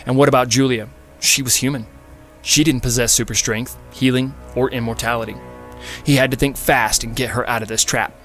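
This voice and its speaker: A man's voice: medium pitch, steady tone, brisk, a bit bright. A man narrates fiction in a mid-range voice. His delivery is scripted and dramatic, in the manner of an audiobook, with music playing behind him.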